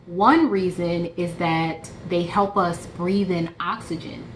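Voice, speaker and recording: A woman's voice: lowish voice, slow. A woman giving a simple, explanatory educational talk for children, as a guest scientist. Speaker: guest marine microbiologist. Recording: roomy echo with background noise.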